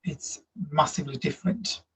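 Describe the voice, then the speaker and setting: A man in his thirties from Slovakia with a Slovak accent, answering interview questions earnestly in halting English. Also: a little high, steady tone, a bit bright, slightly rough. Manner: earnest, reflective, halting non-native grammar. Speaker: guest, migrant workers support officer. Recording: dull lo-fi audio.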